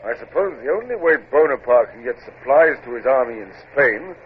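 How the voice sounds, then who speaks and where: A man acting a dutiful British naval officer in a radio drama, crisp and deferential. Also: medium pitch, lively intonation, brisk, close to an everyday voice. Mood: alert, puzzled. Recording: dull old radio recording, faint noise.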